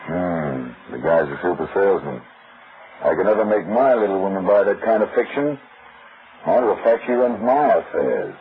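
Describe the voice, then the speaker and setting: An actor playing a wry, skeptical private detective in an old-time radio drama, talking in blunt, sardonic slang. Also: male voice, deep voice, big pitch swings, moderate pace. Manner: slangy, sardonic, hard-boiled. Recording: muffled, noisy vintage radio recording.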